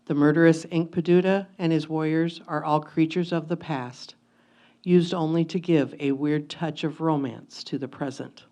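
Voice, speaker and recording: A woman giving a solemn, measured dramatic reading of a first-person historical memoir. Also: deep voice, steady tone, dark tone. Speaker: reader of historical letters in reader's theatre.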